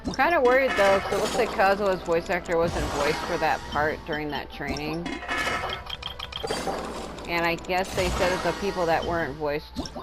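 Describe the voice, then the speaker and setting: A woman's voice: lowish voice, moderate pace. A woman giving casual, rambling let's-play commentary, candid and given to swearing. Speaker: gameplay streamer. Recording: game music playing behind the voice.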